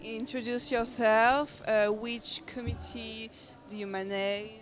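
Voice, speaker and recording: A young woman hosting a student radio interview, asking brisk, halting questions. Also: fairly high pitch, little pitch movement. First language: French. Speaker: radio host. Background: background music.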